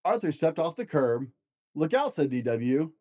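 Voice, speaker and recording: Mellow, lively male storybook narrator. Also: mid-range voice, moderate pace. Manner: expressive, encouraging address to young listeners. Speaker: podcast host reading children's books aloud.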